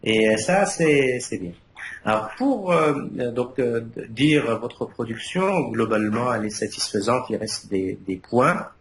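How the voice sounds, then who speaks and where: A man's voice: medium pitch, very animated, measured pace. Hesitant male jury member, Arabic native. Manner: hesitant, many fillers and restarts. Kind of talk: academic thesis feedback. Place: university defense room. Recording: echoey room, faint background noise.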